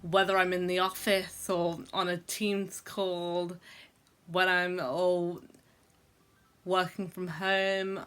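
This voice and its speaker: Young woman vlogging, stammers on words, talks slow and kinda low, lots of ums. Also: level tone, fairly bright tone, clear, resonant tone. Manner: stammers, with repeated sounds and blocks; reflective, many fillers. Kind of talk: personal vlog talk to camera.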